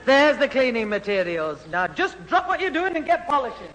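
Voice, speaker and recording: An actress playing a brisk, bossy, exasperated mother who scolds in a no-nonsense way in a radio sitcom. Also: sits high, big pitch swings, a bit bright. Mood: scolding. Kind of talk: 1970s radio sitcom acting. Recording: reverberant old radio recording, faint noise.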